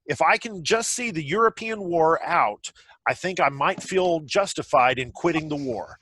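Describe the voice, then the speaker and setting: A man's voice: on the high side, moderate pace, bright, forceful tone, no echo. A man playing a solemn 1940s radio announcer reading wartime reports in a play table read over a video call.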